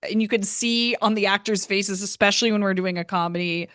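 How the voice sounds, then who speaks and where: Female show creator, average pitch, dry close sound, casual interview chat. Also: steady tone, fairly quick, fairly bright tone, no room sound.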